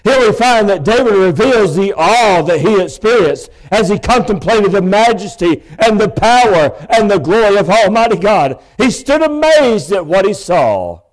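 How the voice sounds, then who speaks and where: A man, preaching a sermon from a church pulpit microphone in a big, loud voice with folksy asides. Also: high-pitched, big pitch swings, normal speed, hardly any pauses, low-quality recording. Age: 50s. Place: church sanctuary.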